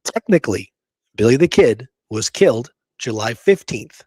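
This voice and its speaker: A man's voice: medium pitch, lively intonation, moderate pace, darkish tone, slightly rough, dry recording. Informal, emphatic man hosting a history talk. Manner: informal, emphatic, checks in with "okay?" and "you know?". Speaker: history show host. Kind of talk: conversational commentary on history, reading accounts aloud.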